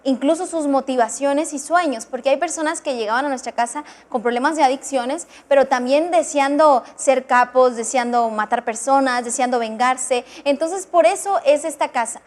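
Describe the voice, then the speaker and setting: A woman's voice: high voice, moderate pace, few pauses. A woman, earnestly explaining a rehabilitation ministry on a church TV program. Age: 20s. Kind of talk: testimonial explanation.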